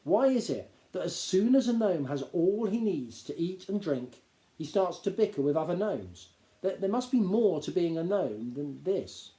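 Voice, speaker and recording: A man's voice: high-pitched, very animated, moderate pace, warm tone, echoey room, voice pushed up, poor audio. A man voicing an honest, hesitant young gnome who stammers. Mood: earnest. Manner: stammering, earnest.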